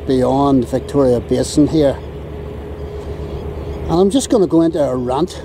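Slow, sarcastic Northern Irish man ranting. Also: mid-range voice. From Northern Ireland. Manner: exasperated, sarcastic, drawn-out complaints. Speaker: vlogger filming the harbour. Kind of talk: ranting handheld commentary. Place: outdoors on a windy harbour quay. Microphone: camera mic while filming. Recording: outdoor camera audio with steady wind and traffic noise.

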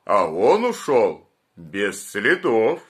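A man voicing a calm, knowing old woodpecker in a children's story, speaking matter-of-factly. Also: on the low side, very slow, heavily acted.

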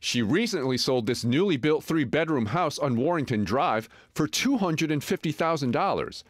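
Lively male TV reporter. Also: fairly high pitch, normal speed, dry recording, studio quality. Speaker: TV news reporter. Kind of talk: news feature narration and street interviews. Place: outdoors in a residential street.

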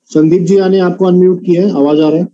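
A man's voice: sits high, fairly even intonation, quick pace, dark tone. A man moderating an online meeting and handing over to participants. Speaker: session moderator. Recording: dull, muffled online-call audio.

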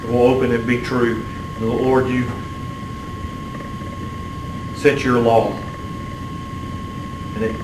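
Middle-aged man, preacher, earnest halting delivery, even pitch, clear background hum. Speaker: Baptist preacher. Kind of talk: sermon and closing prayer. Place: small church sanctuary, at the pulpit. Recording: roomy, distant mic, steady room hum.

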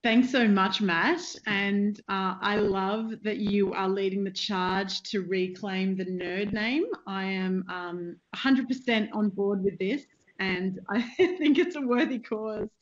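A woman's voice: medium pitch, slow, lo-fi sound. Upbeat woman moderating an online forum. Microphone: webcam on a video call.

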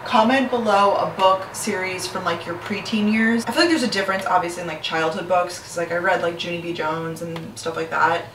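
A woman's voice: on the low side, a little fast, few pauses, fairly bright tone. Chatty young woman vlogging in her kitchen. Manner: chatty, lots of "like" fillers, upbeat. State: slightly hoarse. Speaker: lifestyle vlogger. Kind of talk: casual vlog chat to camera. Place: apartment kitchen, indoors. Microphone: camera on counter, some distance. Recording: roomy apartment echo, distant camera mic, background hum.

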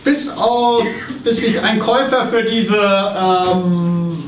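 A man performs an officious tax investigator in a stage comedy, pedantic and pompous. The voice sits high and carries across an echoey theatre, picked up from afar with clear noise. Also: slow pace. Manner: officious, legalistic, hesitating. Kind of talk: live comedy radio play on stage. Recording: distant camera in echoey theatre, noisy.

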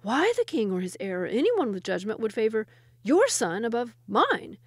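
A proud royal vizier with a short fuse, put on by a woman storyteller. He takes offence easily and rants indignantly in a high voice. It is a storybook figure, recorded very dry. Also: big pitch swings, moderate pace, slightly rough, no echo, exaggerated voice. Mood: affronted. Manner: indignant, ranting. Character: proud, touchy male royal wazir, easily enraged.

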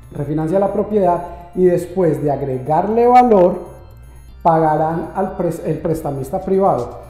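A man in his thirties seated at a desk in a bright loft office, explaining financing steadily to the camera with frequent restarts and fillers. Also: on the high side, echoey room. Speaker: real estate investor.

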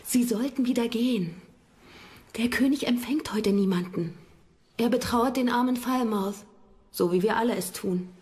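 An actress plays a protective lady of the royal court, deep in grief. Her voice is fairly high and hushed, with worry in it, in the style of a dramatised documentary. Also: normal speed, a little hiss or hum, close to an everyday voice. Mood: worried, sorrowful.

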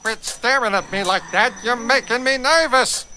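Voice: medium pitch, normal speed, pushed and bright, slight background noise, natural voice. An actor voicing a smug, sneaky chameleon thief with a taunting, shifty manner in a dubbed cartoon. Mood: nervous defensive. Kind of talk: cartoon dub acting. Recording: music behind the voice.

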